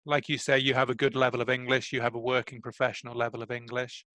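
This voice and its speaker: A man's voice: mid-range voice, flat delivery, quick pace, sharp tone, no room sound. A man coaching a student for a job interview in English on a video call, speaking patiently and encouragingly. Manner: patient, encouraging, carefully paced explanations. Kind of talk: tutoring conversation.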